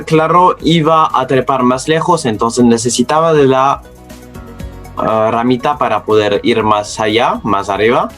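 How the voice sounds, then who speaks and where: Slow, non-native male student presenter. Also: medium pitch, a bit bright. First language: not Spanish, speaks it as a second language. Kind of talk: scripted educational narration.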